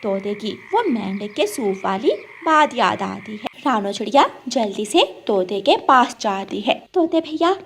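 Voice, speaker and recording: A woman's voice: mid-range voice, animated, measured pace, creaky, hardly any pauses, fairly bright tone, a little hiss or hum. A woman narrating a scripted children's story as a storyteller.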